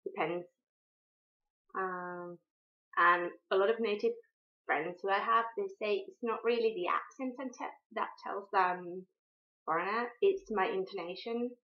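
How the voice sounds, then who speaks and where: A woman's voice: medium pitch, unhurried. A young woman from Finland with a Finnish accent, speaking unscripted to camera, hesitant, with many ums and restarts. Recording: dull lo-fi sound.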